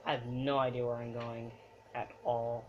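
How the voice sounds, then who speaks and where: A man's voice: mid-range voice, level tone, moderate pace, slight background noise. A young man giving casual, exclamatory, rambling commentary as he plays a video game. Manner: rambling, exclamatory, mild slang. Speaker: let's play gamer. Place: room in front of a TV screen. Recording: dull lo-fi camera mic, loud game audio. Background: game music and sound.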